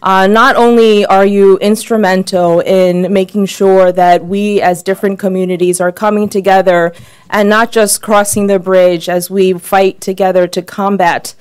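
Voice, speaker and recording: A woman's voice: mid-range voice, fairly even intonation, normal speed, clean tone. A woman giving friendly, lightly joking remarks at a podium, with frequent um fillers. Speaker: congresswoman speaker. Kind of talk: speech at a podium.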